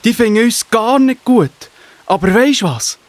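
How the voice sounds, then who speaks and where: A man's voice: very high voice, animated, unhurried, dry recording. A man with a Swiss German accent playing an earnest, devout spy in a children's Bible audio drama, speaking plainly. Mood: excited, urgent. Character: earnest, devout male spy serving God's people.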